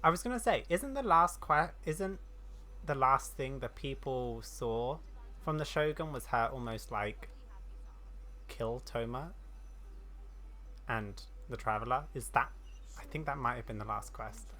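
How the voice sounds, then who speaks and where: Game streamer, mid-range voice: casual commentary, reads on-screen text haltingly, self-deprecating. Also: mid-range voice, sharp tone. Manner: stumbles while reading aloud, self-deprecating. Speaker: gaming streamer. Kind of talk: casual game playthrough commentary and reading dialogue aloud.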